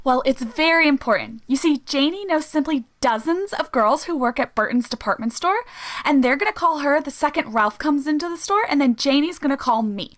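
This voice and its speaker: A woman's voice: high-pitched, animated, a little fast, a bit bright. A woman playing a gushing, self-important teenage daughter in a vintage-style radio sitcom. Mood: urgent, gushing. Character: breezy, boy-crazy teenage daughter.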